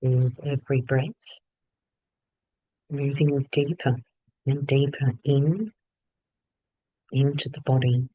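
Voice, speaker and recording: A man leading a guided meditation in short phrases with long pauses between them. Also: medium pitch, fairly even intonation, dark tone, dry recording, rough recording.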